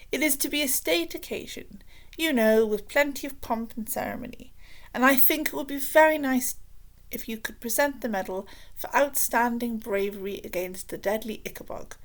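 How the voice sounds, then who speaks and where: A woman voicing a scheming, flattering male royal advisor with a pompous manner in a children's storybook. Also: a little high, moderate pace, voice pushed up. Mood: fawning, pompous.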